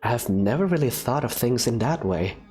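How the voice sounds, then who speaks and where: A man's voice: medium pitch, expressive, normal speed, breathy edge, soft background music. A man narrating a fan visual novel as its student hero, hesitant and thoughtful. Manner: hesitant, thoughtful, soft-spoken. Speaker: narrator and player character.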